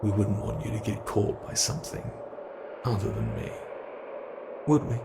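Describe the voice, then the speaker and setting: A man's voice: lowish voice, vocal fry, very noisy. A man voicing a gleeful, predatory monster from under the bed in a horror ASMR roleplay, theatrical and taunting as he addresses the listener. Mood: sly, ominous. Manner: theatrical, taunting, slow and relishing.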